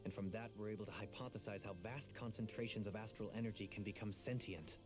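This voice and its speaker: Casting: male actor as eloquent anime-game nobleman, composed and formal, lowish voice, prominent music underneath. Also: steady tone, fast talker, lightly acted. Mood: grave, pondering. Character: composed, scholarly young nobleman pondering a mystery. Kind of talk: anime video game voice acting.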